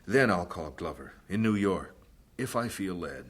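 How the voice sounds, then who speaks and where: An actor playing a patient, firm and devout missionary husband in a radio drama, speaking in a measured, earnest way. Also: male voice, sits low, expressive, a bit bright, slightly rough, exaggerated voice. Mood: quiet, earnest.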